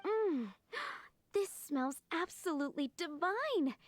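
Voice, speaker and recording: Game character: earnest young woman chef, high voice, courteous and expressive. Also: slow pace, dry recording, exaggerated voice. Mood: delighted, proud. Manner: polite, expressive, theatrical. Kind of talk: video game voice acting.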